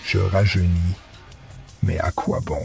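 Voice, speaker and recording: A man voicing a weary old man who questions the purpose of his life, with a gravelly, slow, low delivery. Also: little pitch movement, faint music behind, full character voice. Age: older.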